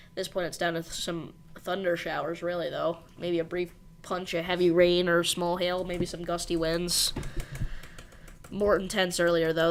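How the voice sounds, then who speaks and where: A woman hosting a live weather stream, briskly reading out storm warnings in an informal way with some fillers. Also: on the low side, slight background noise. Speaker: weather livestream host. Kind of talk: live severe weather coverage.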